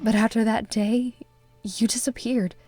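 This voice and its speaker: A woman's voice: a little high, fairly even intonation, measured pace, darkish tone, no room sound. A woman voicing an earnest, troubled young female witness who narrates her memories in a visual novel, in a confessional manner. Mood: haunted. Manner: confessional, addressing one listener. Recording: music behind the voice.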